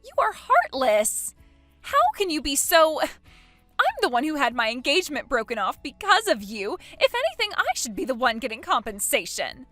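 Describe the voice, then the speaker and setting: A woman voicing a shrill, entitled young woman who is spoiled and indignant. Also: wildly swooping pitch, normal speed, a bit bright, no echo, soft background music, full character voice. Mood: outraged. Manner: shrill, outraged, whiny.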